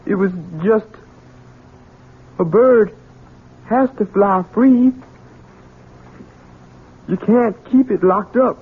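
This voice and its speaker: High-voiced, simple-minded country son. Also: male voice, slightly rough, exaggerated voice. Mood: meek, apologetic. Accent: American. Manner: halting, pleading, rustic speech. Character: simple-minded, childlike grown son, anxious, gentle yet dangerous. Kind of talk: 1970s American radio drama acting. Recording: tinny band-limited old radio broadcast.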